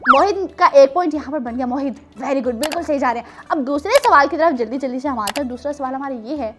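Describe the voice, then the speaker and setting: A woman's voice: high-pitched, fast talker, over music. A woman hosting a children's show, telling stories and chatting with a teacherly, teasing manner and plenty of questions. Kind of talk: storytelling and playful chat with children.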